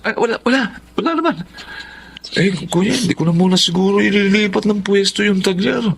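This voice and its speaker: A man's voice: sits high, brisk, gravelly, breathy edge, heavily acted. An actor in a radio drama playing a menacing, sly, bullying stepfather with a Filipino accent, insistent and repeating short demanding questions. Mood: evasive. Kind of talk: Tagalog radio drama acting.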